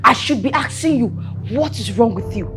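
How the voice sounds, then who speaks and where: A woman's voice: on the high side, expressive, fairly quick, a bit bright, faint music behind. An actress with a Nigerian accent playing a haughty, scheming, hot-tempered young heiress in a film drama, emphatic and repeating her phrases. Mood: scolding. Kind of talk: melodramatic film acting.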